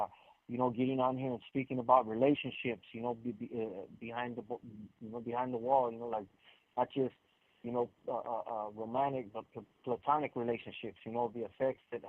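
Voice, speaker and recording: A tinny, narrow telephone line carries a man's medium-pitched voice, with no room echo. He is a caller talking casually and in earnest. He repeats and restarts phrases as he makes his point. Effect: phone line. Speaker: incarcerated guest caller. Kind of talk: casual, earnest explanatory talk. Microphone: telephone handset.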